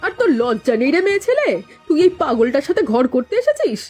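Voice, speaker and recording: A spiteful village housewife from a cartoon, acted by a woman. She talks fast in a high voice, scolding and accusing with a hot temper. Faint music plays behind her. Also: big pitch swings. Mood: scornful accusing. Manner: sharp-tongued, scolding, accusing. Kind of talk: cartoon voice acting.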